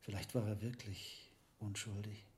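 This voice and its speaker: An actor playing a learned, hesitant medieval monk herbalist in a radio drama, speaking in a deliberate, musing way. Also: male voice, on the low side, fairly even intonation, close to an everyday voice. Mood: pensive, doubtful. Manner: deliberate, musing, with reflective pauses. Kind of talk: German radio drama acting.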